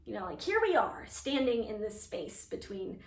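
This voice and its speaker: A woman's voice: medium pitch, sing-song, moderate pace, far from the mic. A woman playing an earnest, eager playwright reliving her teenage speechmaking self, in a chatty, emphatic theatrical monologue addressed to an audience. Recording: echoey room.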